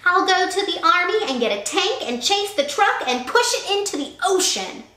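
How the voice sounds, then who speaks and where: A bossy young boy bully yells every threat in a high, shrill voice, as a woman puts him on. His pitch leaps around as he shouts. The sound rings in an echoey room. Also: sing-song, moderate pace, heavily acted. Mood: bossy shouting. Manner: yelling, shrill.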